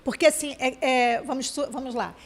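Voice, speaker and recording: High-voiced woman talking fast with restarts. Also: darkish tone. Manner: fast, searching speech with many restarts. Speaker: program co-host.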